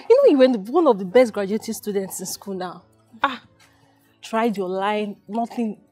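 An actress playing a woman friend who consoles a grieving mother with soft, repeated reassurances. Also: medium pitch, close to an everyday voice. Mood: apologetic. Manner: soothing, repetitive reassurances. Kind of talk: film drama acting.

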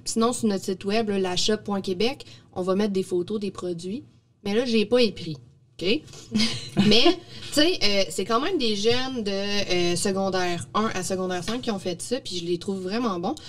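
A woman's voice: mid-range voice, normal speed. A woman with a Quebec French accent presenting products in a casual, explanatory podcast chat, recorded on a studio microphone. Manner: informal, explanatory. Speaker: presenter of young people's product projects. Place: small indoor podcast studio. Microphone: studio microphone on boom arm.

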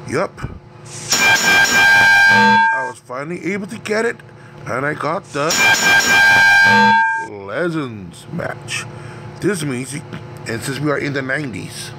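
A man's voice: mid-range voice, slow, pauses often, over loud music. A man with a cold and a scratchy voice, casually commenting as he plays a wrestling management game. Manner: relaxed, rambling narration of his picks. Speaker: gaming channel host. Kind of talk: let's play commentary.

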